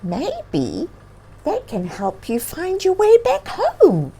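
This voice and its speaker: A small, wise storybook bird, played by a woman with a high, lilting voice. She is gentle and encouraging, and her delivery is reassuring and full of movement. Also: huge pitch swings, slow pace, full character voice. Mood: hopeful.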